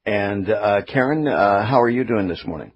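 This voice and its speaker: A man's voice: lowish voice, lively intonation, normal speed, slightly rough. A man hosting a live talk radio interview. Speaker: radio host. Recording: slightly dull radio broadcast sound.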